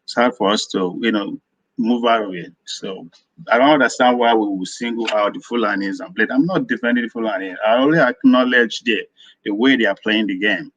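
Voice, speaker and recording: A man's voice: on the low side, a little fast, fairly bright tone, dry recording, lo-fi sound. A Nigerian man calling in to a live audio discussion, arguing in a rambling way that often repeats words. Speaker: caller.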